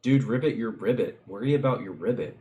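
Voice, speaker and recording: A man's voice: on the low side, expressive, a little fast, mellow, dull audio. A man hosting a let's play, chatting and joking casually over the gameplay. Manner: joking, chatty asides to a friend.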